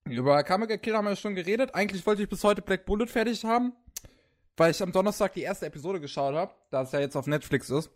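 Slightly high-voiced man on a podcast. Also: lively intonation, quick pace. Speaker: podcast co-host. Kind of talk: casual chat about anime.

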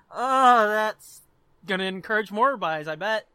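A man's voice: high voice, animated, slow pace, pushed and bright, smooth, no room sound. A man co-hosting a podcast in casual chat, speaking informally and swearing freely. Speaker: podcast co-host.

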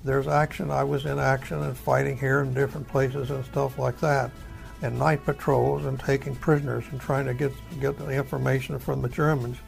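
A man's voice: mid-range voice, little pitch movement, moderate pace, soft background music, low-quality recording. An elderly American man, a war veteran telling his memories in a folksy, rambling way, searching for words. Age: older, over 70. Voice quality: gravelly. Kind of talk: oral history storytelling.

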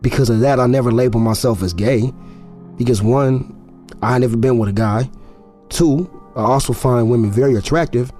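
A man's voice: mid-range voice, fairly quick, dark tone, faint music behind, lightly acted. An actor playing a guarded young football player in his twenties, confiding in a conversational, slightly hesitant audio drama narration. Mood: reflective, confiding.

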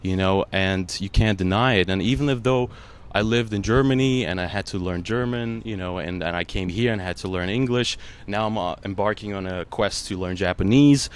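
A man's voice: on the low side, moderate pace, few pauses, clear, resonant tone. A man, an actor whose first language is Russian, answering a panel interviewer in an earnest, emotional way, full of "you know" fillers.

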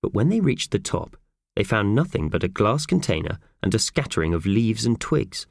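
A man's voice: fairly low pitch, sing-song, normal speed, creaky, dry recording. A man reading scripted narration for a children's audiobook.

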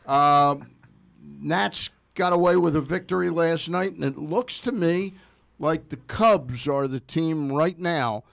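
A man's voice: fairly high pitch, slow, vocal fry, fairly bright tone, no room sound. An American man hosting a sports show with casual, chatty talk, often checking facts with his partner.

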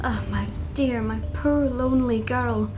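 A woman's voice: a little high, unhurried, noisy background, close to an everyday voice. A woman playing a kindly, anxious old servant in a dramatised gothic ghost story. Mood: mournful.

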